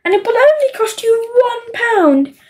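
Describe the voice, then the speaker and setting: Woman voicing a high-pitched horrid young boy. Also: sing-song, moderate pace. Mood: sly. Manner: jeering, shrieking, taunting.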